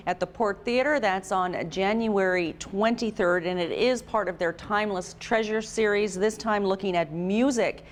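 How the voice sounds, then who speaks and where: A woman's voice: medium pitch, moderate pace, hardly any pauses. A woman presenting a scripted local TV event promo.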